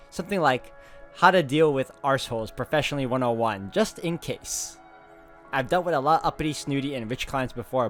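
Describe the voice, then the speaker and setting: Male walkthrough host, medium pitch, expressive story reading, casual asides, soft background music. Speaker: video game walkthrough host. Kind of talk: reading visual novel narration aloud with casual asides.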